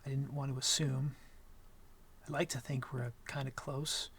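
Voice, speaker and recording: A man playing a shy, awkward young vampire in an intimate ASMR roleplay, gentle and smitten, speaking softly and hesitantly with stammers and apologies. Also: mid-range voice, level tone, unhurried, breathy edge. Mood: tender, shy.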